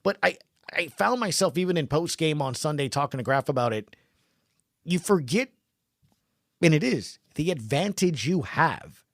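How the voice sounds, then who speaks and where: A man's voice: on the high side, animated, moderate pace, long pauses, no echo, studio quality. A man hosting a sports podcast, talking through stats and games in an emphatic, punchy way with rhetorical questions. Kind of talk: animated sports talk, recapping stats.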